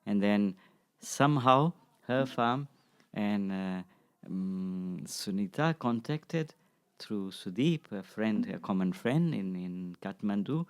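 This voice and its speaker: A man in his sixties answering interview questions in a measured, reflective way, as a practitioner and teacher. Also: lowish voice, expressive. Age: 60s. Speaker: guest, acupuncture practitioner and teacher.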